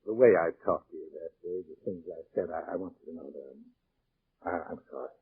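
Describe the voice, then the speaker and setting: An actor playing a scheming man who poses as a concerned friend, earnest and halting, in a 1940s radio drama. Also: deep voice, gravelly, slightly rough, dry recording, heavily acted. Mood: earnest, contrite. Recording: old 1940s radio, narrow muffled band.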